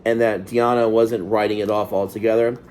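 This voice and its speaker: A man's voice: fairly low pitch, little pitch movement, a little fast, darkish tone, a little hiss or hum. A man co-hosting, reading a letter aloud and adding brief casual comments. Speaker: co-host.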